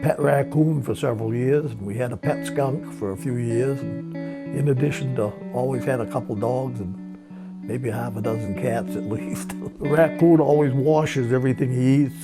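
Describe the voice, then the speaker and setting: Older new guy in his 70s, creaky voice, dry humor, telling life stories, guitar music behind. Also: medium pitch. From Maine, USA. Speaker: grandparent being interviewed. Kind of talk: personal reminiscence. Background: acoustic guitar music.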